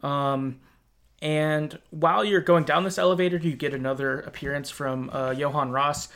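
A man hosting a podcast, casually talking through a video game in an informal, halting way, thinking aloud as he goes. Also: on the high side, fairly even intonation, fairly bright tone. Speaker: podcast host. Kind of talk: casual conversational game discussion.

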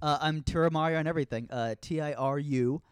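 A young man with a high-pitched voice, an animated comedian telling stories and laughing as a podcast guest. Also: fast talker, smooth, dry recording, clean studio sound. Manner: animated storyteller, laughs often. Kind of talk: casual podcast chat.

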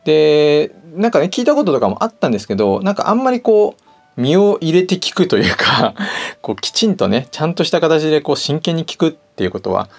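A man speaks as a podcast host in a polite, conversational manner. His voice has noticeable creak at an ordinary male pitch, with music playing behind him. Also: very animated, brisk. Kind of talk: casual solo talk.

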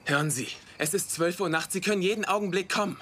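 An actor playing an earnest young investigator, pleading and insistent, in a German-dubbed supernatural drama. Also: male voice, high-pitched, quick pace, slight background noise. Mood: pleading, grave. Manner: insistent, persuasive. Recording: loud music and effects behind voice.

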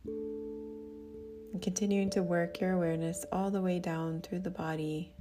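A woman speaking as a meditation teacher, gently guiding a practice in a soothing, unhurried way. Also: fairly low pitch, little pitch movement, over music. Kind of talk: guided meditation.

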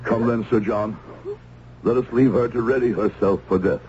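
An actor playing a solemn, stern but compassionate minister in a radio drama, speaking gravely and with measure. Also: male voice, on the low side, fairly even intonation, normal speed, close to an everyday voice. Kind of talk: old-time radio drama acting. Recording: dull, noisy old radio recording.

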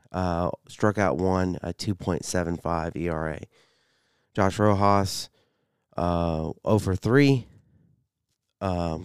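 A man hosting a solo sports podcast, a bit congested, talking haltingly with many fillers. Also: low voice, a little mellow, clear, resonant tone, dry recording, clean studio sound. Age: 30s. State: congested, slight cough. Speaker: podcast host.

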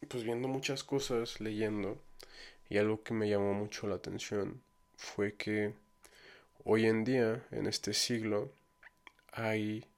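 A young Mexican man talks through a solo podcast in a low voice with little rise and fall. He rambles in a relaxed way, keeps coming back to fillers and restarts, and drops casual slang as he goes. His Mexican Spanish accent is clear throughout. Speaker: podcast host.

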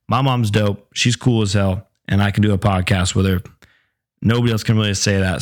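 A young man talks loosely into his podcast mic, laid-back and easy, swearing now and then. His voice sits a little low and sounds hoarse and worn, as if his throat is giving out. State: sore throat, losing voice. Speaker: podcast host. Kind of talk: casual solo podcast chat.

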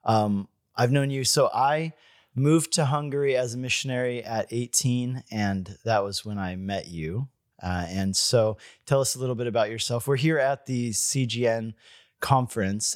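Chatty interviewer, dry recording. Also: male voice, medium pitch, moderate pace, no room sound. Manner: friendly, chatty questioning with restarts. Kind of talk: interview conversation.

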